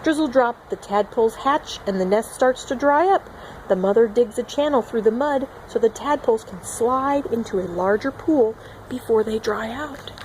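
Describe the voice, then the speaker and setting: Female teacher for a children's read-along, higher-pitched and expressive, amateur recording with some background noise. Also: moderate pace, poor audio. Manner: expressive, acting out animal sound words. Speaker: children's teacher reading aloud. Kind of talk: picture-book read-along with asides to young children.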